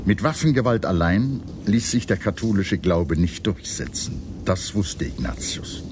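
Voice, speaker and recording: A man reading scripted historical documentary narration in a measured, articulate storytelling manner. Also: sits low, animated, background noise. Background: background music.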